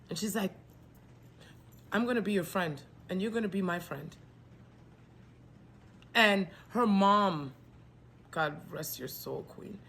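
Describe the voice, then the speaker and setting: A woman's voice: medium pitch, a bit bright, slight background noise. Fervent, swearing woman from Trinidad. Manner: fervent and preachy, swears freely, sometimes drops to a whispered plea. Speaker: spiritual message speaker. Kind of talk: impassioned spoken monthly message.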